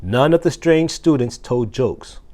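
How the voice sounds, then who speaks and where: A man reading a children's story aloud with expressive, deliberate phrasing. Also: mid-range voice. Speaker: assistant principal reading aloud.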